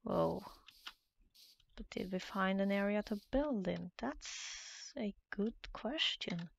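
Norwegian-accented woman, nervous let's-play narrator, unhurried and a bit gravelly, with faint hiss behind her. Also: medium pitch, expressive. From Norway. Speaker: Minecraft let's player. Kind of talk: nervous casual gameplay commentary.

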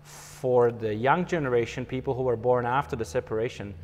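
A man's voice: mid-range voice, fairly even intonation, brisk, darkish tone, in a large hall, slight background noise. A man in his forties from Slovakia with a Slovak accent, answering interview questions thoughtfully with many hesitations. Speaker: conductor, interview guest. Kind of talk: conversational interview. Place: empty hall with a stage.

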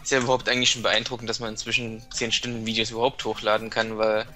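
A man's voice: on the low side, level tone, fast, loud music behind the voice. A man chatting as a guest on a retro game let's play, explaining tricks and often restarting his sentences. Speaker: guest co-commentator. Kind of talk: casual gaming chat.

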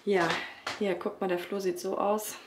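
Give me a slightly hoarse woman in her thirties, chatting at home into a handheld phone and filling pauses with ums as she goes. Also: mid-range voice, level tone, heavy background noise. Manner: chatty, talks straight to viewers with many fillers. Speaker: family vlogger, mother of three. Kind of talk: casual vlog chat to camera. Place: child's bedroom and rooms at home, indoors. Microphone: phone camera held at arm's length.

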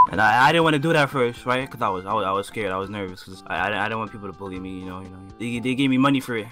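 Bright young man joking around. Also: on the low side, rapid-fire, hardly any pauses, faint music behind, rough recording. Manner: teasing, stammering repeats. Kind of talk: joking chat in a game. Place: online game voice chat.

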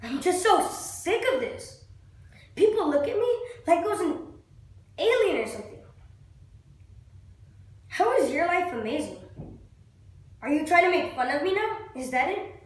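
Woman acting a high, bitter, wounded young monologue character. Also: sing-song, moderate pace. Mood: angry, accusing. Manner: accusing, intense, emotional. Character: bitter, wounded young person angrily confronting God. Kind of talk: amateur dramatic monologue. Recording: very echoey, reverberant room.

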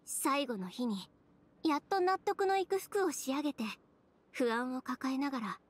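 A woman playing a proud, composed young fashion designer in an anime game, speaking in a cool, measured, matter-of-fact way. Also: sits high, very animated, a little hiss or hum. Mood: reflective.

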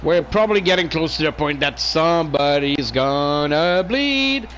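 A man calls a video game wrestling match on his own stream. His voice sits a bit high, and he mixes play-by-play with teasing jokes. There is faint noise behind him on a voice-chat mic. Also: lively intonation, fairly bright tone. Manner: teasing, joking, quick to laugh. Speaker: stream host and commentator. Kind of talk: casual play-by-play and banter.

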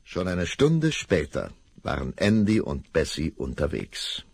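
A man narrating a radio play in calm, measured tones. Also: low voice, creaky, soft background music. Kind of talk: scripted narration.

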